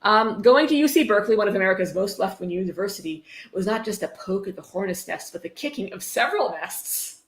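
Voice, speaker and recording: A woman hosts a casual livestream from a roomy, echoey space, switching between reading aloud and commentary. Her voice sits at a middling pitch, and her manner is sarcastic and irreverent, with plenty of swearing. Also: lively intonation, fast talker, hardly any pauses. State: tipsy. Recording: roomy, echoey room sound.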